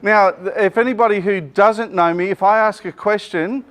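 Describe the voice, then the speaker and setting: A middle-aged Australian man, a business coach, running a joking, energetic seminar and quizzing his audience, speaking without a microphone to the room. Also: high-pitched, normal speed. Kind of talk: lively workshop presentation to a live room.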